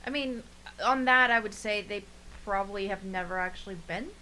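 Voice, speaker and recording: A woman's voice: mid-range voice, normal speed, pushed and bright, slight background noise, rough recording. A woman podcast co-host giving her opinions in casual, unscripted chat. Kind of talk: casual chat reviewing an anime.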